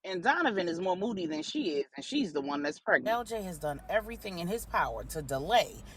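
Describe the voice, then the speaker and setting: Low-voiced, animated woman storyteller. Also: fairly quick, crowd noise. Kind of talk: dramatic bedtime-story narration for grown-ups.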